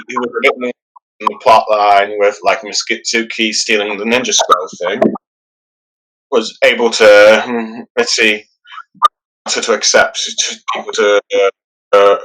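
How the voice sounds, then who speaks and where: A man hosting a podcast, talking through a story in a halting way and often pausing on "let's see". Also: fairly low pitch, pushed and bright, poor audio. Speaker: podcast host. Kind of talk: casual podcast discussion.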